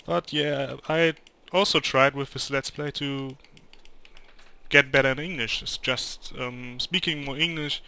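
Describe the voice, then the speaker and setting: A man's voice: mid-range voice, steady tone, a little slow, vocal fry, sharp tone, a little hiss or hum. A young German man with a German accent, speaking English as a second language, giving hesitant gameplay commentary. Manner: hesitant, many ums and restarts. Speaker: gamer.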